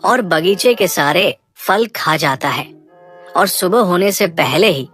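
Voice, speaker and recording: A woman's voice: fairly low pitch, expressive, takes it slowly, fairly bright tone, faint music behind. A woman voicing a respectful, obliging male boar who serves as minister to a jungle king in a cartoon. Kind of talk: Hindi cartoon moral story acting.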